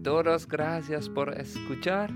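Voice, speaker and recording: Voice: medium pitch, slow. A podcast co-host, a native English speaker, talking casually in accented Spanish with a playful, self-deprecating manner. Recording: music playing behind the voice. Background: guitar music.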